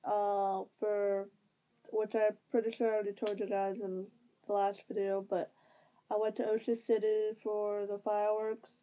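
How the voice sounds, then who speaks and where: A woman's voice: medium pitch, flat delivery, moderate pace, mellow. A young woman with a stuffy nose, rambling casually through a vlog update while lying on her bed. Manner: rambling with many fillers and restarts. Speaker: vlogger. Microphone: device camera at close range. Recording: tinny phone-like sound.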